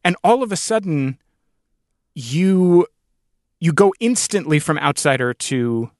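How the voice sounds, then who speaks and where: A man hosting a reflective interview, thinking aloud in thoughtful, halting phrases. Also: fairly high pitch, lively intonation, no echo, studio quality. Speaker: podcast host and interviewer.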